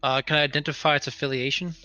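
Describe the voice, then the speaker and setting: A man talking casually as a player in a tabletop roleplaying game, deliberately reasoning things out aloud. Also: fairly high pitch, little pitch movement, sharp tone, no echo, muffled recording.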